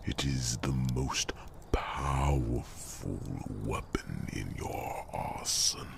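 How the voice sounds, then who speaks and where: A man playing an ancient, tender, wise dragon in an ASMR fantasy roleplay, murmuring slowly in a gravelly half-whisper. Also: extremely low pitch, heavy background noise, exaggerated voice. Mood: solemn, loving. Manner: slow, pausing, murmuring. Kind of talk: ASMR fantasy roleplay, slow intimate monologue.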